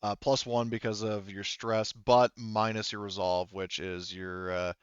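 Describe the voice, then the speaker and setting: A low-voiced man behind the screen at an online tabletop game night, halting through the rules on a slightly muffled mic. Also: level tone, smooth, no echo. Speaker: game master. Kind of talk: casual rules talk running a tabletop game.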